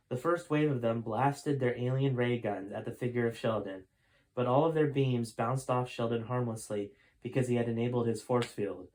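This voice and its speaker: A man's voice: mid-range voice, darkish tone, low-quality recording. A man reading a story aloud as its host, in an informal storytime narration style. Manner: informal, stops to correct own character voices. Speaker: author reading own book aloud, host. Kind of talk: storytime audiobook narration with character voices.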